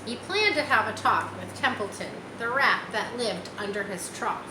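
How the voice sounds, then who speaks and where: A woman reading a children's story aloud in an unhurried, explanatory manner, with asides spoken directly to listeners. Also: on the high side, expressive. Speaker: storybook reader. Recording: roomy echo, loud vehicle-like background noise.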